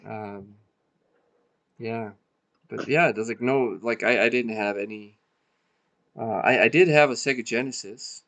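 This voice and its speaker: A man's voice: medium pitch, measured pace, long pauses. Laid-back Serbian-born man chatting. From Serbia (former Yugoslavia). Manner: relaxed, swears freely, trails off often. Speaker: podcast co-host. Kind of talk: casual chat.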